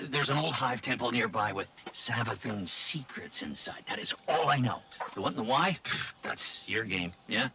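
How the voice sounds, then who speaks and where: Nervy, stammering, shifty little robot. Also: male voice, on the low side, heavy background noise. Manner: stammering, evasive, slangy. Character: nervy, shifty, fast-talking turncoat robot companion, male. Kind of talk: video game voice acting.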